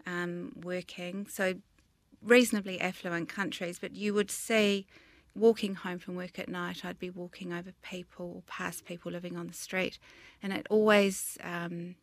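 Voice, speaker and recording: A middle-aged woman with a New Zealand accent speaks in a low voice with a fairly flat, level melody. She talks earnestly about her work, picking her words and rephrasing as she goes, and the recording is dry and close. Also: normal speed, dry recording. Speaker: guest, designer and business owner. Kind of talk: interview conversation.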